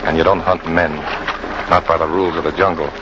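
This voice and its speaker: A low-voiced, bitter hunting guide played by a man narrates in tough, colloquial radio-drama style. He broods like a hardened fugitive. The old recording is muffled and very noisy. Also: flat delivery, a little fast, lightly acted. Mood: brooding, bitter. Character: bitter hard-boiled jungle hunting guide, fugitive, confessing his hatred.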